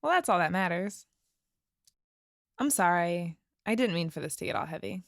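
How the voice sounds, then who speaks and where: A woman's voice: fairly low pitch, animated, normal speed, creaky, dry recording, clean studio sound. A woman playing a wistful, uneasy young woman who lives off-grid, speaking tentatively and thoughtfully in an audio drama. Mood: gentle, casual. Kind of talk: naturalistic audio drama dialogue.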